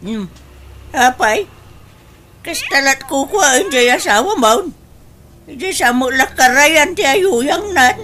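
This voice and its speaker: High, slow-spoken grumbling Ilocano mother played by a woman. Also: lively intonation, sharp tone, exaggerated voice. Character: nagging, disapproving mother of grown daughters. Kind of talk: Ilocano radio drama acting.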